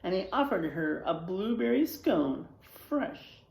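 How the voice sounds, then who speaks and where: A woman reading a children's story aloud in a dramatic, animated narrating style. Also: mid-range voice, slow, vocal fry. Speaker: storybook reader. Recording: roomy, echoing sound.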